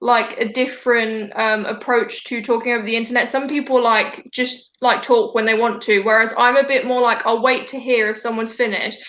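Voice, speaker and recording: A woman's voice: a little high, steady tone, normal speed, few pauses, fairly bright tone. Chatty young woman host, echoey room. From Denmark. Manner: chatty, many fillers and restarts. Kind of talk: casual video call chat. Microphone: video call.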